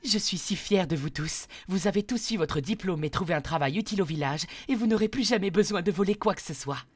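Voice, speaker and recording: A man voicing an earnest, encouraging young female teacher in an animated comedy dub, eager and patient. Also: sits high, a little fast, faint music behind. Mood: proud.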